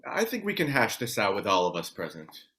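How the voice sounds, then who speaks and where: A man's voice: on the low side, wildly swooping pitch, a little fast, sharp tone, full character voice. A man playing a weary, grieving husband and father in a table-read drama. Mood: weary, resigned. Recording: noisy home recording with background ambience.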